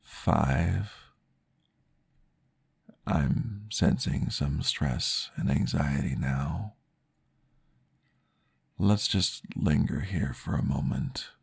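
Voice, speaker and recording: Guy doing a calm polite vampire therapist for asmr roleplay, super low n gravelly, gentle n formal. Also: full character voice. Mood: soothing, reassuring. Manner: slow, gentle, formal, soothing guidance. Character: calm, courteous male vampire therapist guiding a patient.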